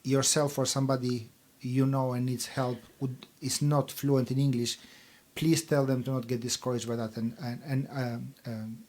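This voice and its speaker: A man whose first language is Albanian speaks at a middle pitch, answering interview questions with care. His delivery is measured and hesitant, with plenty of pauses and fillers as he explains the charity's work. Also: flat delivery, darkish tone. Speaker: charity chief executive.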